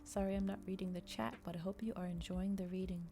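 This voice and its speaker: A woman doing a soft, unhurried ASMR reading of a novel, with small stumbles and restarts. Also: medium pitch, steady tone, a little hiss or hum. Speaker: streamer reading a novel aloud. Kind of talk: ASMR audiobook-style reading.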